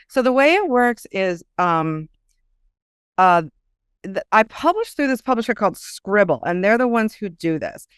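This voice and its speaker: Female author guest, smooth clear voice, animated conversational storytelling, dry close sound. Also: medium pitch, dry recording. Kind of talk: casual interview chat.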